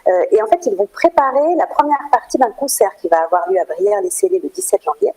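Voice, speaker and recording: Woman, French from France, interview guest. Soft dark tone, mid pitch, earnest and explanatory with hesitant pauses. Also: sing-song, poor audio. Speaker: interview guest, cultural programme manager at a theatre. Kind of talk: radio interview, explanatory answers.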